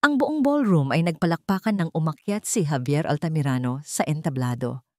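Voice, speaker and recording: A woman narrates a scripted drama as a storyteller. Her voice is low for a woman, and the recording is dry with hardly any room sound. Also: brisk, no echo.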